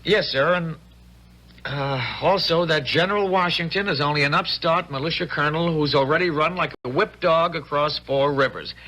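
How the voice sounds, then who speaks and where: A man's voice: on the high side, moderate pace, sharp tone, low-quality recording. A man playing an eager, naive young American soldier in a 1970s radio drama, stammering, folksy and deferential. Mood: earnest, deferential. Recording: vintage 1970s radio broadcast.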